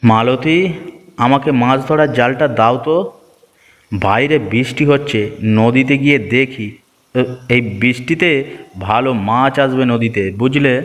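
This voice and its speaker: An actor voicing a kind-hearted, poor fisherman in a cartoon, gentle and good-natured. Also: mid-range voice, fairly even intonation, unhurried, pauses often, in a large hall, natural voice. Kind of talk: Bengali cartoon dubbing.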